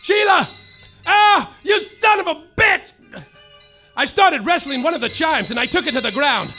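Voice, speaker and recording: An actor playing a hapless hard-boiled private detective who narrates in deadpan noir style with melodramatic outbursts, in a live comedy radio play. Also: male voice, very high voice, moderate pace, faint music behind, heavily acted. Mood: anguished.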